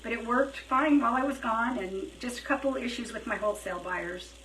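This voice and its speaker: A fairly high-voiced woman talks her way through a craft project on a livestream, thinking out loud in a loose, chatty way, with a dry, slightly worn throat. She sits a little back from the mic in a roomy-sounding space, with faint hiss behind her. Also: a little fast, distant mic. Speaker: craft live-streamer. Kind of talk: casual live craft stream chat.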